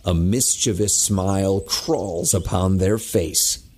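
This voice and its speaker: A man's voice: sits low, slow pace, a little mellow. A man delivering scripted dramatic narration as the narrator of a story.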